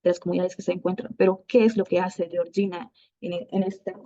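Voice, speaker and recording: A woman presents haltingly, with pauses and restarts. Her voice is low and mellow, and it comes through dry and muffled, like a lo-fi recording. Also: fairly even intonation. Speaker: student presenter. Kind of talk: online talk presentation. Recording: dull dry lo-fi sound.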